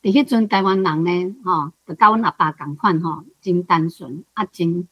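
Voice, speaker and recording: Taiwanese woman, 60s, husky dry-miked voice, deliberate personal reflection, faint guitar underneath. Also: fairly low pitch, no room sound, dull audio. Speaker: Taiwanese-language teacher, panel guest.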